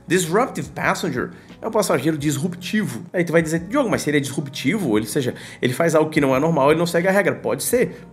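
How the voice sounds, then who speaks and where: A Brazilian man with a Brazilian Portuguese accent teaching English to pilots in a casual, explanatory way. He asks and answers his own questions and uses slang directly. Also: a little high.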